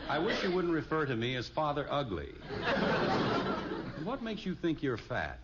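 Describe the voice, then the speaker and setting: Casting: wry American family dad, middle-aged, swooping intonation, dull vintage radio recording. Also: male voice, fairly high pitch, wildly swooping pitch, moderate pace, over a noisy crowd. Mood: wry teasing. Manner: dry, teasing sarcasm. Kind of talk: 1950s radio sitcom acting. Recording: muffled old radio recording, noisy audience.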